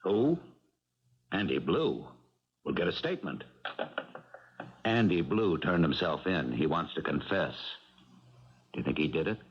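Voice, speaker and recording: An actor playing a dry, skeptical, methodical police inspector in a 1970s radio mystery. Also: male voice, low-pitched, big pitch swings, measured pace, pauses often, breathy edge, exaggerated voice. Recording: dull vintage radio broadcast.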